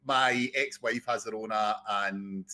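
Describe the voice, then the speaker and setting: A man's voice: fairly low pitch, takes it slowly, over a noisy crowd. A man, a guest on a podcast, telling stories candidly and easily. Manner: relaxed, candid storytelling with fillers. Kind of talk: casual podcast chat.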